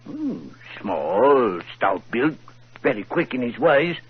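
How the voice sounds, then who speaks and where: An actor playing a proud, excitable red-headed London shopkeeper in a 1940s radio drama, talking in a bluff, folksy way. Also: male voice, mid-range voice, slow, husky, heavily acted. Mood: telling. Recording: muffled 1940s radio broadcast.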